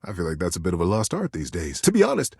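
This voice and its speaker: A man voicing a kindly, folksy old childhood teddy bear in a video game, talking like a gentle, earnest storyteller. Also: low-pitched, huge pitch swings, fast, gravelly, breathy edge, no room sound, studio quality, full character voice. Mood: gentle, warm-hearted. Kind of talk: video game character dialogue.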